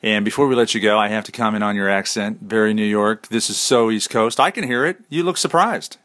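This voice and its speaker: A man's voice: on the low side, fairly quick, fairly bright tone. A man with a Midwestern accent hosting an interview, chatty and joking, with filler words. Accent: Midwestern American. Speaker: interview host. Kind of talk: casual interview questions.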